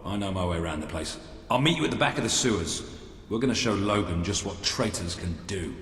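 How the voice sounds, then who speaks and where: An actor with a British accent playing a cocky, irreverent, wisecracking soldier in a fantasy video game, sardonic in manner. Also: male voice, deep voice, fairly quick, slightly rough, exaggerated voice. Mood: wry, determined. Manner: sardonic, animated. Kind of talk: dramatic game dialogue.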